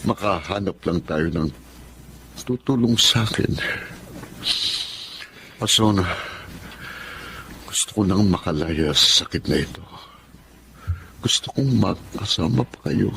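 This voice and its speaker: A man's voice: low voice, expressive, slow, creaky, pauses often, a bit bright, exaggerated voice. An actor playing a sad, ailing wealthy family man in a Filipino radio drama, weary and earnest. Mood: despairing. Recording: faint background noise.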